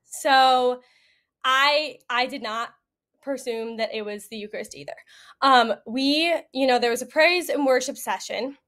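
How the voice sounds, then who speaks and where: A woman's voice: high voice, unhurried, a bit bright. A young American woman, a podcast guest giving a casual, chatty first-hand account. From United States. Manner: chatty, conversational.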